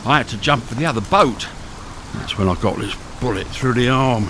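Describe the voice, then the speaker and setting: A man's voice: mid-range voice, brisk, lightly acted. A man playing a hunted, hardened smuggler in a radio thriller, terse, commanding and evasive. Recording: noisy radio drama with rain sounds.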